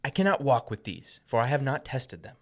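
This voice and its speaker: A man's voice: medium pitch, big pitch swings, a little fast. A man playing a defiant, earnest young shepherd in a dramatic scripture reading. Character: defiant young Hebrew shepherd hero, David.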